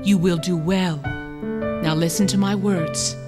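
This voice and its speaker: A woman voicing a kind, wise old king and father in a storybook tale, speaking in a measured, gentle, solemn way. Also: lowish voice, fairly even intonation, over loud music, natural voice. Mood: gentle, instructing.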